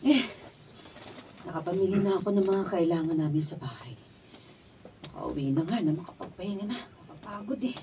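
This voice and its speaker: A woman's voice: on the low side, lively intonation, a little fast, pauses often. An actress playing a spirited, firm woman village captain in a Filipino radio drama. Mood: weary. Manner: emotive, firm. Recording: dull distant mic, faint room noise.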